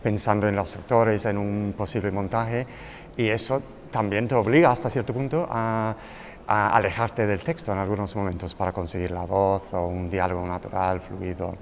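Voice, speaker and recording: A man's voice: fairly low pitch, fairly even intonation, normal speed, slight background noise. A man from the United Kingdom, a translator answering an interview fluently and enthusiastically. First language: English.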